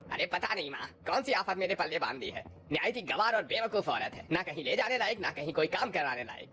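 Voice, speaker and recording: A woman's voice: on the low side, very animated, a little fast, fairly bright tone. A woman voicing an impatient, contemptuous husband in a cartoon. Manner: contemptuous, demanding. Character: harsh impatient husband, demanding man.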